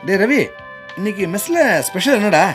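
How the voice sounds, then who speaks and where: A man's voice: sits high, sing-song, normal speed, faint music behind. A man playing a food-loving, jaded young job seeker in a Tamil radio drama, casual and grumbling. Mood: breezy, evasive.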